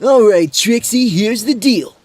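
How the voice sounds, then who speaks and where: Man as a high, animated, cocky swordsman. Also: measured pace, dry recording. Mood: boastful. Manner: boastful, bro-like, earnest. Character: cocky, clueless young male adventurer and sword fighter. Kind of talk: fantasy audio drama acting.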